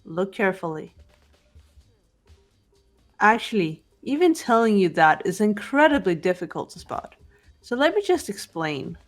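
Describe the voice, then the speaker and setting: A woman's voice: medium pitch, very animated, a little slow, pauses often, faint music behind. A woman voicing a composed, unemotional teenage girl investigator in a visual novel game, speaking precisely and matter-of-factly. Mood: calm, analytical. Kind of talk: scripted visual novel voice acting.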